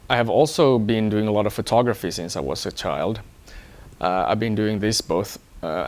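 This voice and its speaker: A man from Hong Kong, an engineer, talking about himself in a measured, explanatory way for an employee profile. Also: fairly low pitch. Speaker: electronics engineer. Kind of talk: first-person employee profile talk.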